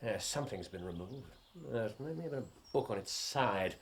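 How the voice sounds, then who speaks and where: Brief: male actor as commanding British detective, low voice with wide swings, wry and deductive, radio drama, faint noise. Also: lively intonation, natural voice. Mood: keen, absorbed. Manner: brisk, deductive, wry, muttering to himself. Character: shrewd, unsentimental, commanding gentleman detective.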